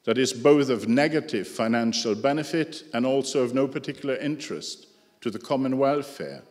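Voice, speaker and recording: A man with a non-native English accent giving a prepared lecture, measured and dryly ironic, with asides to the audience. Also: medium pitch, expressive, darkish tone. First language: not English. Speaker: speaker giving a talk. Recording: echoey hall with long reverb.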